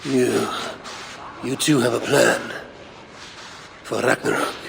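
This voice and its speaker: Gravelly proud Norse god, game cutscene. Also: male voice, mid-range voice, fairly even intonation. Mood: wry, haughty. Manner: measured, dry, archaic phrasing. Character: proud, wry, imperious Norse god in middle age. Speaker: video game protagonist. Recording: long hall reverb, faint background noise.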